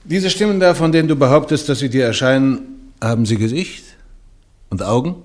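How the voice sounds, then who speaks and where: A man's voice: mid-range voice, fairly quick, a little mellow, close to an everyday voice. A man as a probing, insistent church inquisitor. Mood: pointed. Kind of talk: German radio play acting.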